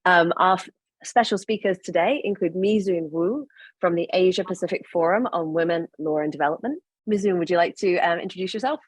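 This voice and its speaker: A woman's voice: on the low side, brisk, dry recording. Upbeat woman moderating, dry sound. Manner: upbeat, welcoming. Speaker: panel moderator. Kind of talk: moderating an online panel.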